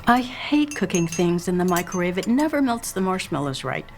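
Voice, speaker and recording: A woman's voice: fairly low pitch, very animated, moderate pace, darkish tone. A woman playing a teenager's weary, dismissive suburban mother in a script read. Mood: fussy. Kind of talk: table-read acting of a film script. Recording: music playing behind the voice.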